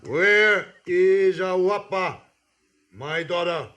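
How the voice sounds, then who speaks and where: Casting: stern, proud chief for a radio western, fairly high male voice, very measured broken phrases, distrustful. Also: sharp tone, heavily acted. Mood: stern, suspicious. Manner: halting, clipped broken phrases. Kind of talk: 1950s radio western acting.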